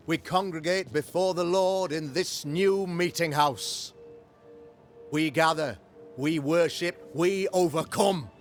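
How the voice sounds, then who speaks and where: Casting: pompous settlement preacher, period game. Grandiloquent, sermonising, unhurried delivery, slightly raised pitch. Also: male voice. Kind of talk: theatrical period game acting.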